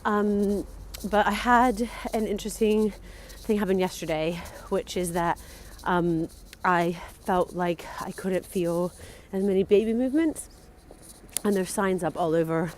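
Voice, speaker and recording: A woman's voice: medium pitch, steady tone, measured pace, darkish tone, a little hiss or hum. Chatty congested young Englishwoman vlogging. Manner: chatty, exasperated, rambling with fillers. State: congested with a cold. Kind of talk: casual pregnancy vlog.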